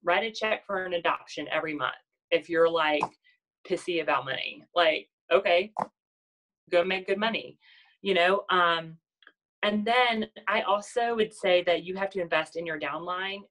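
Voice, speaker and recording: A woman's voice: on the low side, moderate pace, fairly bright tone, low-quality recording. A woman in her thirties with a nasal voice, giving a casual motivational talk to her sales team and often asking "does that make sense?". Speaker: network marketing team leader.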